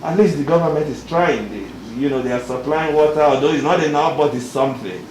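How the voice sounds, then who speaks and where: A man's voice: fairly high pitch, fairly quick, a little mellow. A South African man, a university expert, giving formal testimony at a hearing and explaining at length with frequent "you know"s. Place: large hearing room. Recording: echoey hall, faint noise.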